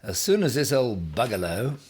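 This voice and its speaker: A man's voice: mid-range voice, very animated, normal speed, darkish tone, slightly rough, voice pushed up. A man voicing a bossy, boastful would-be emperor in a children's story, with a pompous, emphatic, commanding manner. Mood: brisk, reassuring. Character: Ham, bossy, boastful man, self-styled future emperor.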